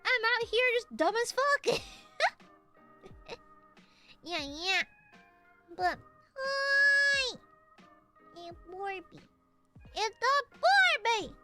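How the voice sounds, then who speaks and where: A high, bright woman's voice with a nasal edge, chatting casually to her stream audience. She rambles, giggles and swears offhandedly, and her pitch bounces all over the place. Soft music plays faintly underneath. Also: sing-song, drawn-out words, long pauses. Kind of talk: casual stream chat with viewers.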